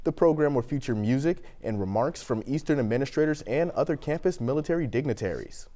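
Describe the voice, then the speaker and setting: A man's voice: mid-range voice, animated, fast, mellow. An American man reading scripted local news as an anchor.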